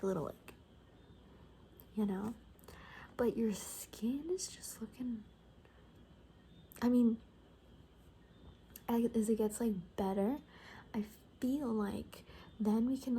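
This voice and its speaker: A woman doing a soft-spoken, breathy ASMR roleplay as a cocky, gossipy apocalypse survivor, often dropping into a whisper and rambling casually. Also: medium pitch, a little hiss or hum.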